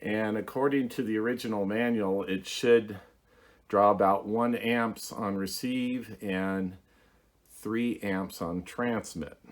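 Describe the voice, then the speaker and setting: A man giving a casual hands-on demonstration as host of a hobby video, talking in a halting, plainspoken, methodical way. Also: lowish voice, fairly even intonation.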